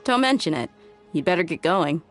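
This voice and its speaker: Game role: knowing, helpful woman, notably low pitch, lively intonation, polite and composed. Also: a little fast. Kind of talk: English video game dialogue. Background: loud music.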